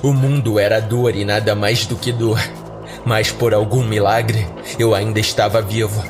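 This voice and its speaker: Um homem com sotaque brasileiro narrando uma história de terror em primeira pessoa, de modo pausado e dramático. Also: male voice, on the low side, little pitch movement, over music. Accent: Brazilian. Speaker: horror podcast narrator. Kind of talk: scripted first-person horror narration.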